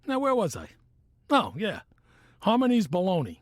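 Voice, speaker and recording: A man playing a sly, chummy retired mobster in a comedic audio drama, talking like an older wise guy with a deal to pitch. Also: wildly swooping pitch, moderate pace, dry recording, clean studio sound. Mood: breezy sales pitch. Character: wheedling old retired mobster, male, pitching shady deals.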